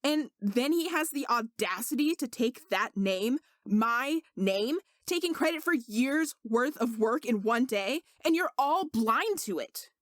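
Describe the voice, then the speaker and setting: Indignant young servant sorcerer acted by a woman, earnest, insistent, fairly high pitch, close dry sound, storybook fantasy. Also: lively intonation, measured pace, hardly any pauses, fairly bright tone, dry recording.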